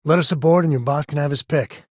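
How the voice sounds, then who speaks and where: An actor playing a rugged, loyal space cowboy explorer in a video game, with a husky voice and a folksy, wry, plainspoken manner. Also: male voice, fairly high pitch, fast, no echo, studio quality, close to an everyday voice. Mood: easygoing, wry. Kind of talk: video game character voice lines.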